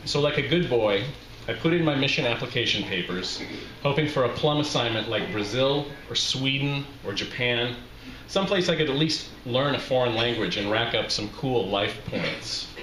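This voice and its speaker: A man's voice: medium pitch, expressive, normal speed, a bit bright. A middle-aged American man from Utah at a microphone, reading a personal essay to a live room in a wry, self-deprecating way. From Utah, USA. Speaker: reading series host, memoirist. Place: small indoor bar room with brick walls. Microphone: handheld-style microphone on stand, close. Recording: roomy live venue, background noise.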